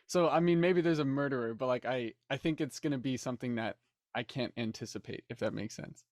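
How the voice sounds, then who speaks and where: A man's voice: mid-range voice, fairly quick, no room sound, clean studio sound. A man chatting casually on a panel in a tentative, wry way. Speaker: cast member on a panel. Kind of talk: casual chat.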